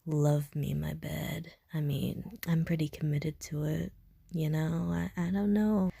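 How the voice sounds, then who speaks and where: A deadpan, awkward young slacker woman, voiced by an actress in an audio roleplay. Her voice is low and breathy, and she mumbles slowly in self-deprecating slang. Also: no echo, clean studio sound, full character voice. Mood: reluctant, gloomy.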